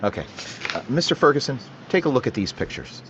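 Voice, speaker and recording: An actor playing a police detective in a 1940s-style radio drama, asking questions in a level, matter-of-fact way. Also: male voice, medium pitch, very animated, fast talker, dull audio, background noise, close to an everyday voice. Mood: businesslike. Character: calm male police detective, businesslike.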